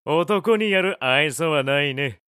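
An actor playing a gruff middle-aged cafe owner in an audio drama, blunt and offhand with cheeky retorts. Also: male voice, fairly high pitch, expressive, slow pace, sharp tone, no echo, studio quality, full character voice. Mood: nonchalant. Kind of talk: Japanese audio drama acting.

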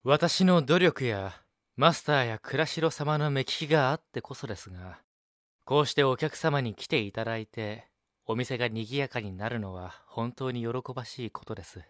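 An actor playing a young café assistant in an audio drama, speaking formally, courteously and without getting ruffled. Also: male voice, medium pitch, normal speed, dry recording, clean studio sound, lightly acted. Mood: gracious. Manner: courteous formal speech, gently unruffled. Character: polite, composed young male café assistant. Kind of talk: Japanese audio drama acting.

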